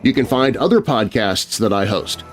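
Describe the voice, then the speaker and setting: A male podcast host reads a scripted sign-off. His mid-pitched voice moves with lively, varied intonation, recorded close as a studio voice-over with music underneath. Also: normal speed. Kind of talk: scripted podcast outro narration.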